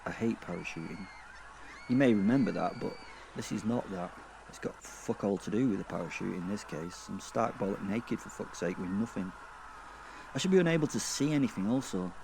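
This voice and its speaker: A crude, sardonic werewolf played by a man narrates a dark fantasy tale in a low voice. He is wry and sweary, pausing as he thinks. There is faint noise behind the voice. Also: vocal fry. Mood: deadpan, irritated. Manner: sweary, deadpan, wry, halting. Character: crude, sardonic male werewolf narrating his own afterlife. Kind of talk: first-person dark fantasy audio drama narration.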